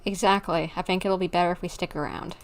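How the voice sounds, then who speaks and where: A woman's voice: on the low side, fairly even intonation, fast, slight background noise. A young adult woman giving casual, playful, rambling commentary as she livestreams a video game. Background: faint game music.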